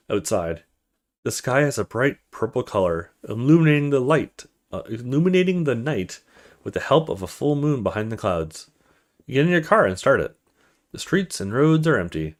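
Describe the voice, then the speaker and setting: A man hosting a let's play, reading horror story text aloud with dramatic delivery and casual asides in between. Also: medium pitch.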